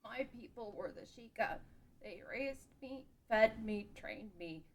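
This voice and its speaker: A woman's voice: fairly high pitch, animated, a little slow. A woman playing a stoic elderly royal caretaker in a table read of a fan script. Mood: stoic, measured. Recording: clear background noise.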